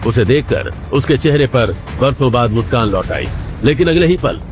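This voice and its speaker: A man's voice: mid-range voice, animated, moderate pace. Male dramatic story narrator over music. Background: background music.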